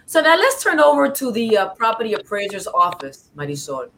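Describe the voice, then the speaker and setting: A woman's voice: fairly high pitch, big pitch swings, a little fast, a bit bright, slight background noise. A woman from New York hosting a talk show, interviewing a guest in an upbeat, friendly, chatty way.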